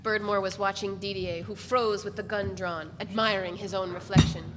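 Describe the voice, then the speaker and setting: A woman's voice: medium pitch, fairly even intonation, moderate pace. A woman reading scripted literary narration as the narrator in a staged reading. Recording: faint room noise.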